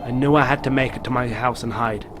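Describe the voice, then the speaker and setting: A man's voice: medium pitch, steady tone, fast talker, music in the background, close to an everyday voice. A man playing a haunted, guilt-ridden middle-aged father narrating a horror story in a game. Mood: shaken, grim. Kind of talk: dramatic first-person story narration.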